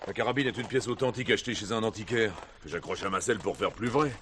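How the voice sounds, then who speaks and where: Brash amateur cowboy for radio drama male actor: indignant, bright and forceful, rapid-fire, slight background noise. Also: lowish voice, lightly acted. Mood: defensive. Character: brash, indignant weekend cowboy who loves play-acting. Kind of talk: French radio play acting.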